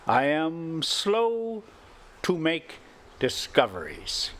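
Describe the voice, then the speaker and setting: An older man voicing a grim, quietly menacing Victorian husband in a storybook reading, with slow, deliberate, halting speech. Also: on the high side, huge pitch swings, slight background noise, pitched above the performer's own voice, exaggerated voice.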